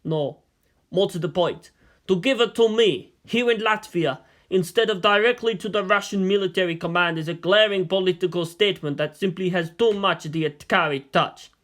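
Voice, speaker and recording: A man's voice: high-pitched, normal speed, fairly bright tone. A man voicing an aged, shrewd premier in a story reading, formal, questioning and skeptical. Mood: suspicious. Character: aged, experienced male statesman, suspicious and shrewd premier.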